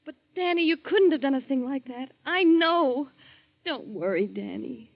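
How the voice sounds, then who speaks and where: A woman's voice: high voice, animated, unhurried, gravelly, dry recording, heavily acted. A woman playing an anxious, devoted young girlfriend, earnest and pleading in 1940s radio drama style. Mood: anxious reassurance. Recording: muffled 1940s radio recording.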